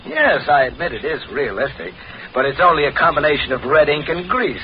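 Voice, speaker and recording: Smug, highly animated radio villain. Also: male voice, brisk. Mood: gloating. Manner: taunting, sardonic. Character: gloating, mocking male swindler, smug and cruel. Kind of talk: 1940s radio drama acting. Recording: muffled, faintly noisy 1940s radio recording.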